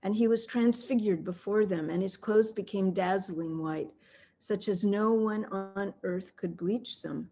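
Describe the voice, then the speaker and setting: A woman's voice: medium pitch, unhurried, mellow. Woman reading scripture expressively. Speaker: scripture reader. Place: at home, on a video call. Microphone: video call.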